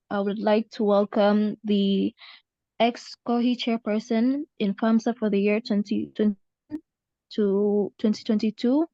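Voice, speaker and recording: A woman's voice: medium pitch, dark tone, dry recording, muffled recording. A young woman formally moderating an online meeting and introducing speakers over a call. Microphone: online call microphone.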